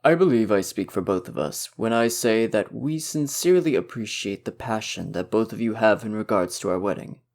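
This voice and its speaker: A man playing an earnest, diplomatic prince in an audio roleplay, with courteous, measured and articulate speech. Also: fairly low pitch, darkish tone, lightly acted. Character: polite, diplomatic young prince, earnest and patient.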